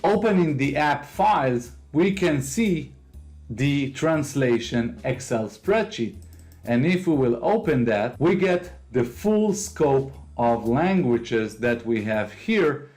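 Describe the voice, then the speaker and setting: An Israeli-accented man talks through a screen recording, explaining each step in plain, slightly non-native English at an ordinary middle pitch. Quiet music plays faintly underneath his voice. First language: Hebrew. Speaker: host of a software tutorial channel. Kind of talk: screen-recorded software walkthrough.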